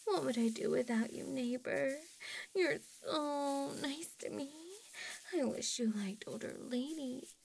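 A woman's voice: sits high, measured pace, gravelly, a little hiss or hum. A woman playing a tipsy, doting older neighbor. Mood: coaxing. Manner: soft flirty coaxing, slipping into whispers. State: a little drunk. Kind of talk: audio roleplay.

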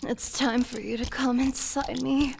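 An adoring, possessive elven princess, acted by an adult woman in an intimate audio roleplay. Her voice is fairly high and often creaky, breathy and sliding into whispers, with moans between phrases. A faint noise sits behind her. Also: steady tone, a little mellow, low-quality recording. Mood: adoring, commanding. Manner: slow, breathy, moaning, often dropping into whispers.

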